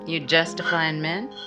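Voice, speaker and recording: A woman acting in 1950s-style radio drama as a worldly, forward single woman at a bar, teasing and direct. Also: low voice.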